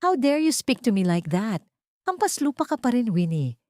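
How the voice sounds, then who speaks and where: An arrogant young rich heiress in an audiobook drama, played by a woman narrator, haughty and scornful, with very lively pitch. The recording is dry. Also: normal speed, dry recording. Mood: outraged, scornful. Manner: haughty, scornful, switches into English.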